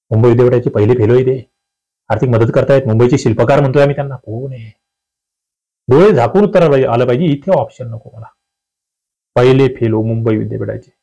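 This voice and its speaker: A man with a Maharashtrian accent works through exam questions as a coaching teacher. His voice is mid-pitched and quite mellow, with little brightness. He addresses students directly and repeats key phrases to drive points home. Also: rough recording. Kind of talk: exam question walkthrough lesson.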